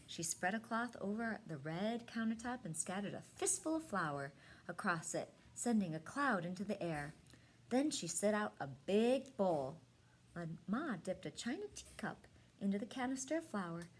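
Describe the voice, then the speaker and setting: Female storybook reader: husky tone, very lively melody, dramatic pauses, hushed emphasis. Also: mid-range voice. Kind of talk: expressive storybook narration.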